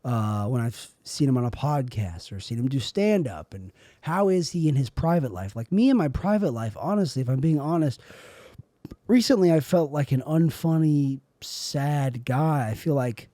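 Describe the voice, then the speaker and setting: A young man with a raspy, airy voice, rambling through a solo podcast monologue as host. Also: mid-range voice, lively intonation, moderate pace, dark tone, no echo, clean studio sound. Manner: rambling, self-questioning, trailing off.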